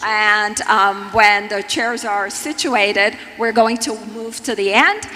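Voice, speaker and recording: A woman's voice: medium pitch, slow, bright, forceful tone. A woman from Istanbul, Turkey, moderating a live panel on a conference stage. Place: large conference hall stage. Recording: echoey large hall, background noise.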